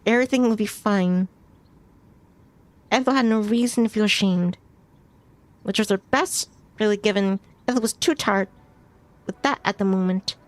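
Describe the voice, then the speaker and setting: A woman's voice: mid-range voice, normal speed, long pauses, no room sound. A woman streamer reading a visual novel aloud as story narration, now and then stumbling over a word and rereading it. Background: music behind the voice.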